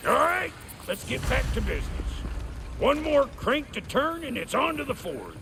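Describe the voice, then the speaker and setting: An actor playing a gruff, foul-mouthed dwarf blacksmith in a video game, with a gravelly voice, an American accent and a folksy, grumbling way of talking. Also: male voice, extremely high pitch, background noise, exaggerated voice. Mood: teasing, brisk. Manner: folksy, crude, grumbling, colloquial. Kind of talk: video game character acting. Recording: game dialogue over background music.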